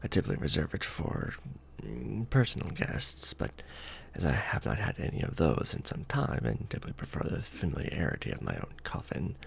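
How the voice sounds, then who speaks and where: Casting: male actor as brooding, protective vampire lord for ASMR roleplay; low creaky voice, hushed formal delivery with sweeping intonation. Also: very animated, exaggerated voice. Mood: tender, attentive. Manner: formal, archaic wording, slow and hushed. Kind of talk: ASMR romantic roleplay, soft close speaking.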